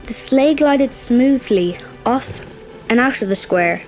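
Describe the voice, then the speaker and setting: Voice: mid-range voice, lively intonation, takes it slowly, a little mellow. A performer playing a cross, wistful young boy, telling his story in a confiding, reflective way in a children's audio drama. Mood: awed. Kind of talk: first-person narration in children's audio drama. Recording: music clearly behind the voice.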